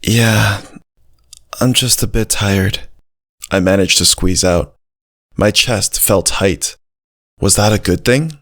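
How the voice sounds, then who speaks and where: A man's voice: lowish voice, takes it slowly, long pauses, a bit bright, dry recording, studio quality, exaggerated voice. A man voicing a brave, loyal little dog who narrates his own story in a simple, earnest way. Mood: weary. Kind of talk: first-person scripted story narration. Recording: music behind the voice.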